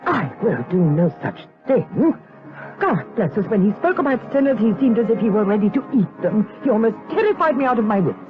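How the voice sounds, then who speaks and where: A woman's voice: mid-range voice, big pitch swings, a little fast, lightly acted. Woman acting talkative older aunt, fussy, mannered period speech, gothic drama, thin radio-like audio, music. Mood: indignant. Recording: muffled radio-like sound with music behind.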